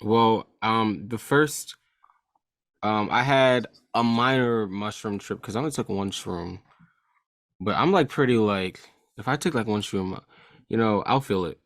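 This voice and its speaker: A man's voice: fairly low pitch, measured pace, dry recording, studio quality. A young man co-hosting a casual podcast, joking and swearing freely. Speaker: podcast co-host.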